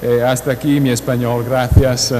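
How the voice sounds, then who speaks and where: A man with an Italian accent, a guest lecturer, greeting the audience in halting speech full of fillers. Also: medium pitch, flat delivery, warm tone. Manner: slow, halting, with many fillers. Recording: echoey hall with faint noise.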